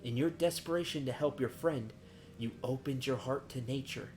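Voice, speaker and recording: A man voicing a calm, wise guildmaster and mentor of nature magic in a fantasy game, formal and measured. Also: medium pitch, a little hiss or hum, lightly acted. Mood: solemn, reassuring. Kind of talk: reading fantasy game dialogue aloud.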